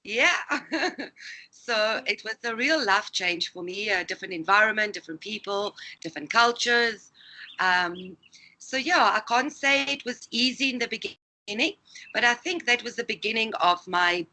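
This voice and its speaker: A woman's voice: fairly high pitch, animated, normal speed, bright, forceful tone, poor audio. A woman from Greece, a native Greek speaker, talking as a life coach guest in a casual interview, in a reflective storytelling manner.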